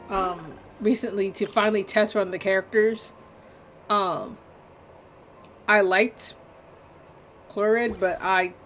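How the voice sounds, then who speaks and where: A woman's voice: mid-range voice, fairly bright tone. Female game streamer, mid-range voice, dry and offhand rambling commentary, faint music underneath. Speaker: livestreamer. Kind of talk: casual gameplay commentary.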